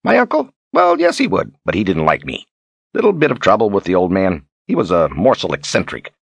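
A man's voice: sits low, huge pitch swings, brisk, gravelly, breathy edge, no echo, full character voice. A man voicing a boastful, sly old wizard who talks in a folksy, grandiose way, as in an audiobook. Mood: cheery rambling. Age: older. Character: theatrical, boastful, sly old wizard posing as fortune-teller. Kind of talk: audiobook character acting.

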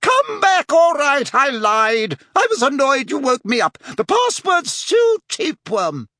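A man's voice: very high voice, sing-song, normal speed, sharp tone, no echo, studio quality, voice pushed up, exaggerated voice. A man voicing a huffy, scolding woman in a portrait for a storybook reading. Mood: flustered, pleading. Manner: scolding, haughty. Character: huffy, indignant woman guarding a door from her portrait.

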